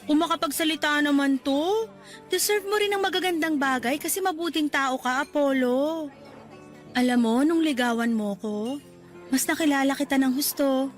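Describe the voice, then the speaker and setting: A kind, upbeat young woman who cheers a shy friend on, her voice sitting high and bright, as an actress plays her. She speaks in a classic radio-drama style. Music plays clearly under her lines. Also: normal speed, natural voice. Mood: warm. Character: kind gentle young woman volunteer, Diana.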